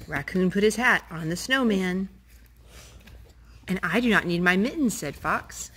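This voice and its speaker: A woman reading children's picture books aloud in a gentle, animated storytime voice, stopping to talk with the children. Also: mid-range voice, a little slow, slightly rough. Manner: gentle, animated read-aloud voice, talking to the children between pages. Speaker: storytime reader.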